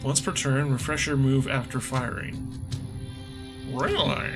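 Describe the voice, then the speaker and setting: A man's voice: mid-range voice, slow pace, music in the background. A man giving casual, jokey let's play commentary, thinking aloud as he reads game dialogue. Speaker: gaming video host.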